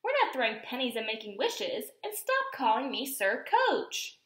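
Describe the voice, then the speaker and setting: A woman's voice: a little high, huge pitch swings, normal speed, fairly bright tone, reverberant. A woman voicing a bossy, brash, enthusiastic young girl coach in a storybook, exclaiming and giving commands. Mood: commanding, eager.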